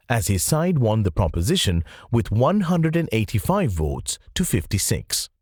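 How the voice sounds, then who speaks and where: A man reading scripted nonfiction audiobook narration in a measured, explanatory way. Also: medium pitch, darkish tone, slightly rough, no room sound, studio quality.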